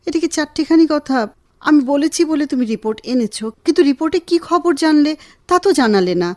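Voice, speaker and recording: A woman playing an affectionate, gently insistent wife in a radio drama, with an expressive, tender delivery. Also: sits high, fairly quick, dry recording. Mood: insistent, complaining. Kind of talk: Bengali radio drama acting.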